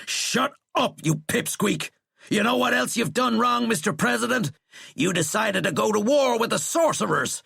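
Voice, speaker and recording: A man voicing an angry, outspoken army general in an audiobook, loud, forceful and scornful. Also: high-pitched, expressive, normal speed, vocal fry, breathy edge, dry recording, studio quality, pitched above the performer's own voice, heavily acted. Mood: scornful anger. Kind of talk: audiobook character acting.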